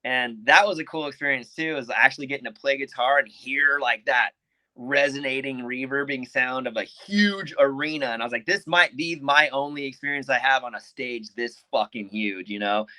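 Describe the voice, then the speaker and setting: A man telling stories as a podcast guest, animated and casual, peppering his talk with "dude" and "like" and swearing freely. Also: mid-range voice, normal speed, dry recording. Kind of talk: casual storytelling.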